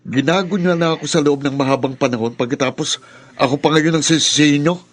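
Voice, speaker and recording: A man's voice: fairly high pitch, fast talker, natural voice. A man playing a bitter, wounded young adult son with an accusatory, melodramatic delivery in a radio drama. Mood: bitter, accusing.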